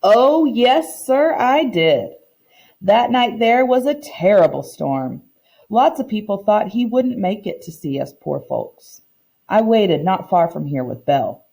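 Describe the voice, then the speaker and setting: A kindly old woman from a storybook, as a female reader plays her, proud and folksy. She tells her memories without hurry, in a mellow voice that rises and falls freely. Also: mid-range voice, very animated, unhurried, creaky, rough recording. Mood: reminiscing. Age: older. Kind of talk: children's picture book read-aloud.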